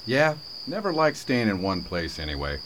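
A man's voice: on the low side, very animated, moderate pace. A man playing a wry, loyal, kindhearted sheriff in a radio drama, with a dry, teasing manner. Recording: noisy, with cricket-like background.

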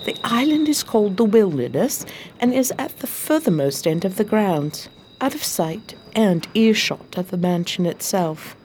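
An actress plays a devoted, deferential policewoman's assistant narrating a radio mystery. Her voice is soft-edged and dark, very varied in pitch, and politely storytelling, with faint background noise. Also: animated, moderate pace, natural voice. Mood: measured recounting. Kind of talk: radio drama narration and dialogue.

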